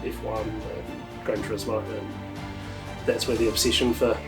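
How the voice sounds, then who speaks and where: A man's voice: fairly low pitch, little pitch movement, moderate pace. A thirty-year-old man, eagerly recalling his start in racing for a documentary interview. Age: 30s. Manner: enthusiastic, rambling recollection. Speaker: drift driver interviewee. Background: guitar music.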